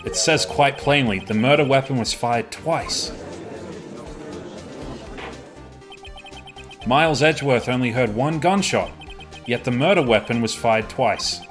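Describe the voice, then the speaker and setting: A man's voice: mid-range voice, expressive, moderate pace, soft background music, close to an everyday voice. Young male defence lawyer, acted by a man, medium register, earnest, insistent and emphatic, amateur game dub.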